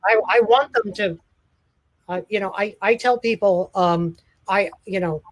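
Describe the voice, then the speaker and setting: A man's voice: sits high, unhurried, poor audio. A man who teaches college, hosting a casual video call session in a friendly, rambling way with many fillers and restarts.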